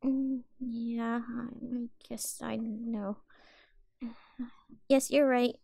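High-voiced, timid, childlike catgirl. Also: flat delivery, drawn-out words, vocal fry, mellow, no room sound, full character voice. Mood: shy, sympathetic. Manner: hesitant, stammering, meek. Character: timid, childlike catgirl, sweet, anxious, eager to please. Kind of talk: roleplay audio acting.

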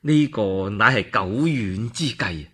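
A man voicing a respectful, deliberate adviser counselling his lord in a Cantonese radio story. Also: on the low side, expressive, bright, forceful tone, breathy edge, voice pushed down, exaggerated voice. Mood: grave, measured advice.

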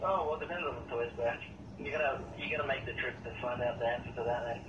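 A man's voice: medium pitch, quick pace. A man, speaking informally and a little hesitantly on a phone call with a customer, heard through a telephone speaker. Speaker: brothel receptionist. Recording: noisy echoey phone speaker, distant.

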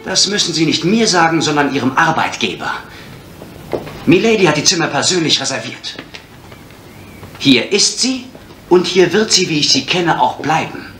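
An actor playing a brisk, bossy, gossipy male employee with a snappy, condescending manner in an old radio play. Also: on the high side, very animated, fairly bright tone, slight background noise. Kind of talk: German radio crime drama.

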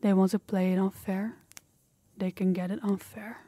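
A woman performs a possessive wife in an audio roleplay, devoted and dangerous. Her dark, soft-edged voice stays very even, adoring yet threatening. The sound is dry and close. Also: mid-range voice, normal speed, no room sound, natural voice. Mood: cold anger.

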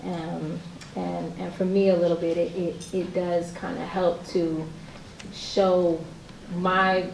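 A woman's voice: lowish voice, slow pace, creaky, a little hiss or hum. Chatty woman painter in a roomy hall. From Puerto Rican heritage. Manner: chatty, animated, with many fillers. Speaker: panel artist, painter. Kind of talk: casual panel talk. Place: large hall, on stage. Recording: echoey hall from far off.

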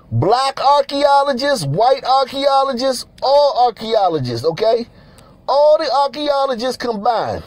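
High-voiced man ranting bluntly. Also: a little mellow, no room sound. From Nigeria. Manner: blunt, profane, repeats himself, laughs between points. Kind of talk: casual ranting commentary over pictures.

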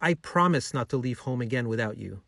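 A man's voice: mid-range voice, expressive, fairly quick, creaky, a bit bright, no room sound, clean studio sound. A man voicing an eager, kind-hearted young male pet dog in a children's story, earnest and exclamatory.

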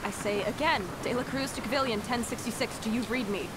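A woman's voice: a little high, fairly quick, natural voice. Woman acting decisive commander in roaring storm. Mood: tense. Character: decisive, protective female commander in sci-fi emergency. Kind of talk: audio drama acting. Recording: loud rain and storm noise.

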